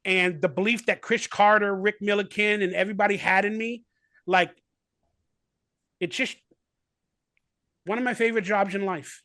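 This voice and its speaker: Chatty high-voiced man in his forties. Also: fairly even intonation, normal speed, long pauses, sharp tone, dry recording, clean studio sound. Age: 40s. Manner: rambling, enthusiastic, full of "like" fillers. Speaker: guest actor. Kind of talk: casual remote interview. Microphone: video call.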